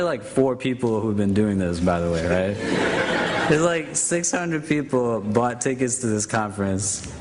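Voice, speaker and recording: Male panel moderator, casual and self-mocking, mid-pitched, live stage mic with slight hiss. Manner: casual, self-deprecating, many fillers. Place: conference hall stage.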